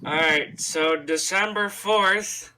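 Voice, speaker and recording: Male podcast co-host, fairly high voice, blunt and teasing, casual, clear background noise with clicks. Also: takes it slowly, sharp tone. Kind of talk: casual chat about pro wrestling. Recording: noisy home mic with clicks.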